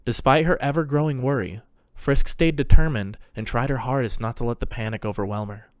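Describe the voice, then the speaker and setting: A man narrates a story from a script. His voice is medium in pitch, recorded very dry with no room sound around it. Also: brisk, no echo.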